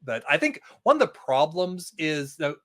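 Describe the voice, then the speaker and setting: A man with a somewhat high, very bright and forceful voice talks as a podcast guest. His delivery is casual and wry, like relaxed opinionated conversation. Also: moderate pace.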